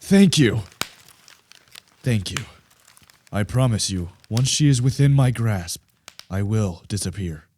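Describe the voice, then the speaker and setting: Man playing a mellow, lonely, vengeful monster. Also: lowish voice, lively intonation, slow pace, long pauses, slight background noise. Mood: pleading. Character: lonely, vengeful male monster created by a scientist. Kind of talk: radio play narration and dialogue.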